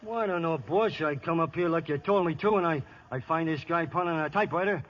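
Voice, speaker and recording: A man's voice: fairly high pitch, fast talker, lightly acted. An actor playing a goading, weaselly gangster's henchman in a radio crime comedy, slangy and egging others on. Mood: sheepish. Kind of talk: 1930s-40s radio crime acting. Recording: muffled old radio recording.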